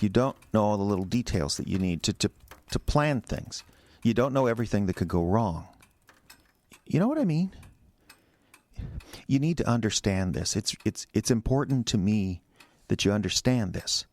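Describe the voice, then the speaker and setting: An American man acting in a radio drama as an anxious, defensive husband who speaks haltingly and repeats his words. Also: on the low side, darkish tone, natural voice.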